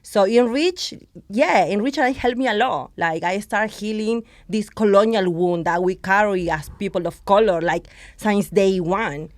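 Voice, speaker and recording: A woman speaks as a guest on a podcast, her voice sitting in a middle pitch. She talks with passion and switches into now and then. Her sound is dry and close. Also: a little slow, few pauses, no echo. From Argentina. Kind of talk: podcast conversation.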